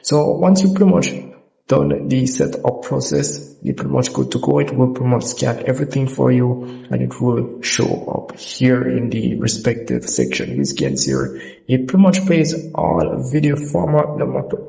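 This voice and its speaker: Gravelly, mellow-voiced man giving a tutorial. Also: mid-range voice, big pitch swings, moderate pace, low-quality recording. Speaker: app tutorial presenter. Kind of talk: casual unscripted screen-recording walkthrough.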